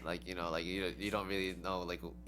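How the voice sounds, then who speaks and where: A woman's voice: extremely low pitch, flat delivery, fast talker, over music. A young woman dancer answering interview questions in a rambling way, full of "like" and "um", with giggly laughs. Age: young adult.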